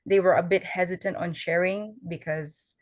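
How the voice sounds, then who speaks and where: Young woman, low voice, Tagalog native. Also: fairly even intonation, moderate pace, no room sound, studio quality. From Filipino family. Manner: earnest, explains step by step with fillers. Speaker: oral history fellow. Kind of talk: conversational interview answers.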